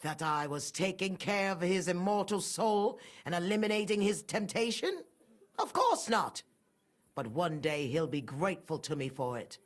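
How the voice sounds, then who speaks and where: An actress playing a fanatical, haughty pious mother, wildly expressive. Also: on the low side, normal speed, lightly acted. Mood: righteous scorn. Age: middle-aged. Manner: sanctimonious, scornful, defiant. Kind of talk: dramatic video game acting.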